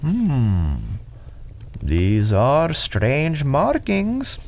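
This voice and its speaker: A man playing a courteous but guarded head monk in an adventure audio drama, speaking in a formal, measured, serene way. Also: on the high side, huge pitch swings, darkish tone, heavily acted.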